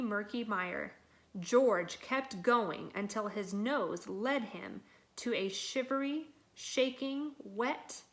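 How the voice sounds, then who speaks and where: A woman reading a children's picture book aloud in an expressive, dramatic and deliberate way. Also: mid-range voice. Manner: dramatic, deliberate delivery with acted sound words. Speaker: storybook reader.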